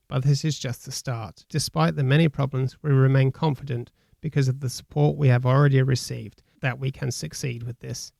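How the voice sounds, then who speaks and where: Male reader, creaky mid-pitched voice, delivering quoted newspaper copy. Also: a little mellow, dry recording, clean studio sound. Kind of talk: scripted reading.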